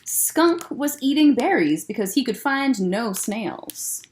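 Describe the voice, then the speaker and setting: A children's librarian reads a picture book aloud, slow and gentle, stopping to point out things in the pictures. Her fairly high voice is lively, swooping up and down with expression.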